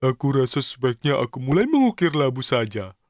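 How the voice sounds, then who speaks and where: A man voicing an excitable, childlike, easily scared little fellow in a children's cartoon, with a dramatic, sing-song manner. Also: mid-range voice, fairly quick, noisy background, lightly acted. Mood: playful. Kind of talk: animated children's cartoon dub.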